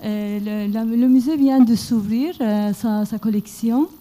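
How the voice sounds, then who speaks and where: A woman's voice: fairly high pitch, a little slow, warm tone. A woman from Canada asking a speaker a question from the floor of a lecture hall, hesitating often with fillers. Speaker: audience member asking a question.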